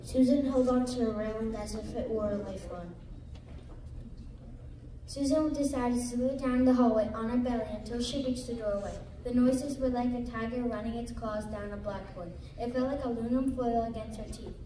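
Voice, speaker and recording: A woman's voice: on the high side, moderate pace, dark tone. A female student narrator reading a scripted story aloud in a reader's theater performance. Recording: echoey room, distant mic, background noise.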